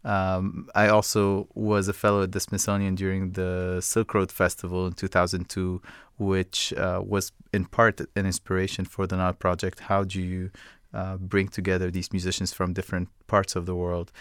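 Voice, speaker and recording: Very deep, even-toned man from Egypt. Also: clean tone, dry recording, studio quality. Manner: thoughtful, measured, frequent fillers. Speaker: guest, music project co-founder. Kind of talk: interview answers.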